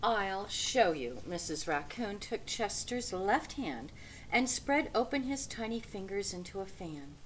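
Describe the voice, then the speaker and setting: A mature woman narrates a picture book for children. Her delivery is slow and deliberate, with big pitch swings. It is a home webcam recording with faint noise. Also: poor audio. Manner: expressive, deliberate. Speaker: storybook reader. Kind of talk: children's read-aloud narration. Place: small indoor room with bookshelf.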